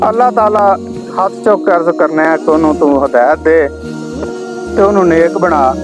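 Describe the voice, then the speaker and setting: A man acts out an old village father in a moral-story drama. His voice is high, with a Pakistani Punjabi accent. He sounds devout, trusting and resigned as he sighs out his words, with music clearly playing behind him. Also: natural voice. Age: older. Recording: music laid over the dialogue.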